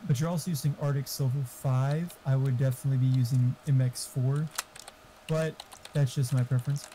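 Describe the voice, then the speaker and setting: Very mellow, dark-voiced man chatting casually. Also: mid-range voice, steady tone, a little fast, a little hiss or hum. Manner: informal, swearing, opinionated. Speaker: gamer giving computer hardware advice. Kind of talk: casual gaming voice chat.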